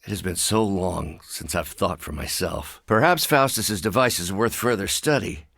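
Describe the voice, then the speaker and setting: An actor playing a brooding, polite and intellectual scientist hero in a video game, speaking in a measured, earnest, hesitant way. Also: male voice, sits low, creaky, a bit bright, no echo, full character voice. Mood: brooding, guarded.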